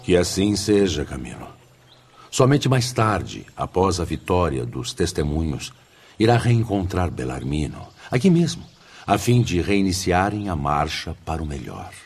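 An actor playing a grave, fatherly spirit director in a Brazilian radio drama, speaking in a solemn, measured and formal way with a Brazilian accent. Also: sits low, sing-song, darkish tone, breathy edge, full character voice. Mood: calm, instructive. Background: music.

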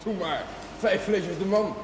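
A man's voice: sits high, a little slow. A wry, mocking brewery man with a slightly raised voice. The sound is roomy with a long echo and light hiss. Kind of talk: 1970s TV drama acting. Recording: echoey, reverberant old TV audio.

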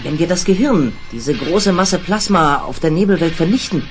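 Guy playing a keen young space sidekick, lightish higher voice, earnest, old german scifi audio drama. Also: lively intonation, normal speed, faint music behind, lightly acted. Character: eager, earnest young male companion of the commander. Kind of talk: 1970s German science fiction radio play acting.

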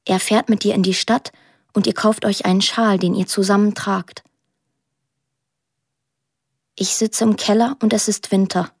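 A woman playing a lonely, dreamy twelve-year-old girl in a quiet, poetic radio drama monologue. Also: medium pitch, breathy edge, no room sound, studio quality.

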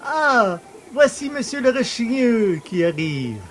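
An actor playing a cheeky, boastful poacher fresh from prison in an audio drama, familiar, mocking and self-pitying. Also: male voice, extremely high pitch, very animated, takes it slowly, a little hiss or hum, heavily acted. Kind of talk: French audio drama acting.

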